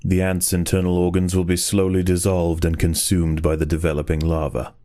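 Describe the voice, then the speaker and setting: A man reading scripted nature documentary narration for a game in a measured, grave and formal storytelling manner. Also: low-pitched, monotone, mellow, dry recording, clean studio sound.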